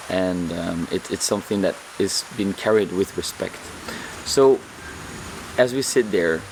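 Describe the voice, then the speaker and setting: A man vlogging calmly and earnestly, his voice slightly hoarse, often repeating words as he searches for them. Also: sits low, background noise. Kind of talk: reflective vlog monologue.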